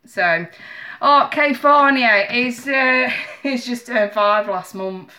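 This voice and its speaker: A woman's voice: fairly high pitch, slow pace, bright, forceful tone, poor audio. A woman in her thirties with a stuffy, bunged-up nose, chatting emotionally and apologetically to viewers over a live stream from her small home office. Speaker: tarot reader streaming live. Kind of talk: casual live-stream chat. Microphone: webcam at desk.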